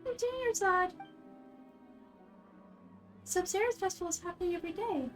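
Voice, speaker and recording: A woman voicing a small, excitable, childlike fairy companion from a video game, speaking in an exclaiming, indignant way. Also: very high voice, moderate pace, soft background music, voice pushed up. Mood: alarmed. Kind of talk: video game character dubbing.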